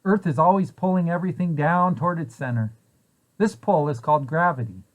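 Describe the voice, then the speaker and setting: A man's voice: fairly high pitch, brisk, lo-fi sound. A man reading a children's picture book aloud, posing questions and answers in a storytelling way for young listeners. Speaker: preschool site director reading aloud.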